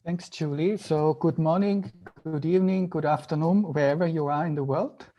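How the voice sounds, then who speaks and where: A man with an Austrian accent, a native German speaker, giving an online medical lecture in halting, deliberate phrases. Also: a little high, steady tone, mellow, lo-fi sound. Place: video call.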